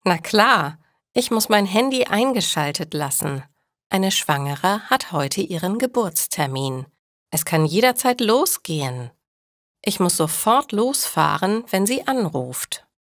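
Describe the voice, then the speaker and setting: A woman's voice: mid-range voice, big pitch swings, measured pace, no room sound, studio quality. A woman narrator voicing a friendly, dedicated midwife and aunt in storybook dialogue for language learners. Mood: obliging. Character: dedicated adult midwife, friendly aunt who loves work.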